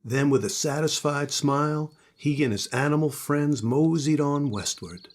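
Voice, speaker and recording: An older man, a grandfather, reading a children's storybook aloud in a husky voice. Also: a little high, a little slow.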